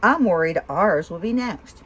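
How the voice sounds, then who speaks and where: Woman reading as a gentle, weary storybook dad. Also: lowish voice, expressive, a little slow. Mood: worried, sorry. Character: weary, caring father of a young boy.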